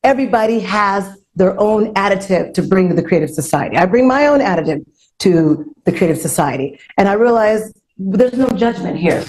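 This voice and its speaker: A woman's voice: mid-range voice, animated, normal speed, darkish tone, low-quality recording. Outspoken lady host on a forum panel, chatty and emphatic, tells her own story, repeats phrases for punch. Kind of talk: unscripted panel talk, personal testimony.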